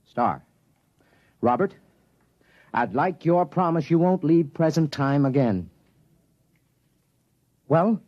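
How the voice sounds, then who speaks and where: A man's voice: a little high, expressive, takes it slowly, long pauses. A man playing a concerned, firm father and scientist in a 1950s radio drama. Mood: stern, protective. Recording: muffled vintage 1950s radio, faint music.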